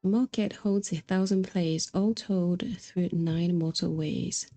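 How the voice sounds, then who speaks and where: Mellow-voiced woman livestreaming a game. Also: on the low side, steady tone, slow pace. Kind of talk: casual livestream chat and reading game dialogue aloud. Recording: music behind the voice. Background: game music.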